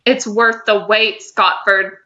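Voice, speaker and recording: Bright-voiced woman rambling casually, slightly muffled recording. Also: mid-range voice, fairly even intonation, slow pace. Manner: giggly, informal, rambling with profanity. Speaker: podcast co-host. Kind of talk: casual fan chat.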